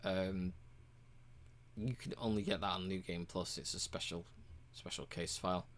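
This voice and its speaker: A man casually walking through a game's achievements, relaxed and rambling, with frequent ums and uhs. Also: sits low, normal speed, a little hiss or hum. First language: English. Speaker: game reviewer.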